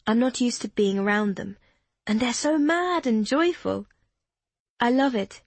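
Woman playing gentle young woman, wistful, guarded, breathy, dry close sound. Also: a little high, animated, measured pace, no echo, voice pushed up, heavily acted. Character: warm young woman abroad, guarded about her past.

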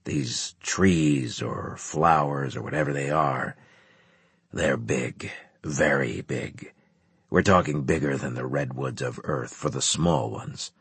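A man voicing a grim male centurion in an audiobook, terse, grave and deliberate as a commander. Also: rumbling low, vocal fry, a bit bright, husky, no echo, pitched below the performer's own voice, full character voice. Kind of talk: audiobook character dialogue.

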